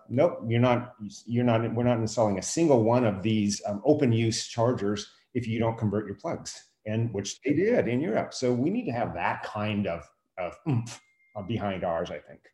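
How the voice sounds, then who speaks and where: A man's voice: mid-range voice, animated, normal speed, darkish tone. A man giving his opinions in a casual discussion, conversational and emphatic. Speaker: podcast host, teacher. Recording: echoey room.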